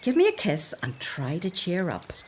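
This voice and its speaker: A woman's voice: lowish voice, wildly swooping pitch, moderate pace, a little hiss or hum. An actress playing a bustling, bossy but affectionate older woman servant in a radio drama. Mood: fussing.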